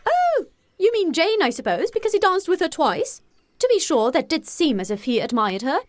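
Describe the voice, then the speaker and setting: Middle-aged mother, excitable, indignant, high swooping pitch, exclamatory, audiobook character. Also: moderate pace, no room sound. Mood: flustered, gossipy.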